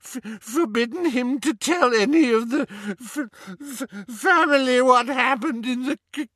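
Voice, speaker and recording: A man's voice: very high voice, measured pace, bright, forceful tone, no room sound, studio quality, voice pushed up, heavily acted. A man voicing an elderly male house-elf, servile and grief-stricken, crying and stammering through a wail. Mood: sobbing, pleading. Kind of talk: audiobook character voice.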